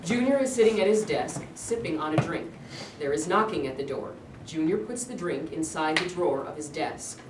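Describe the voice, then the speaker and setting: Lowish-voiced woman narrator in echoey room. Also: animated, normal speed. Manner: plain, matter-of-fact. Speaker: stage directions reader. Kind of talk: scripted narration of stage directions. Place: indoor room at a live staged reading. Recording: echoey room, distant mic, noisy.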